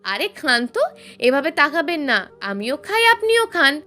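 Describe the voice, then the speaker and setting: A woman's voice: sits high, big pitch swings, a little slow, sharp tone, faint music behind, full character voice. A woman voicing a coy, affectionate young wife in an audio story, with a cutesy, coaxing manner. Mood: playful.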